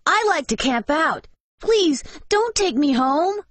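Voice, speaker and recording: A male narrator voicing a wild, loud ten-year-old boy who chatters in a shrill, childish way. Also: sing-song, slow pace, dry recording, exaggerated voice. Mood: excited chatter.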